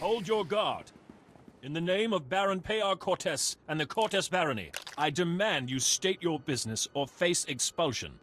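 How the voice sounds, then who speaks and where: A man's voice: fairly high pitch, lively intonation, normal speed, fairly bright tone, a little hiss or hum. A man playing a formal, martial captain of a noble house guard in a video game. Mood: stern challenge.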